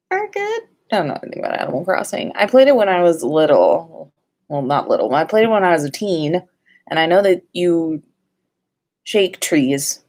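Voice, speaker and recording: A woman's voice: lowish voice, darkish tone. A woman hosting a casual livestream in a playful, informal way, sometimes sing-song and casually sweary. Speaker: livestream host.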